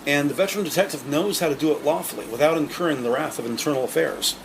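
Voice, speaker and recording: A man's voice: a little high, fast, vocal fry, background noise. A man narrating a scripted audio drama with dramatic, present-tense storytelling.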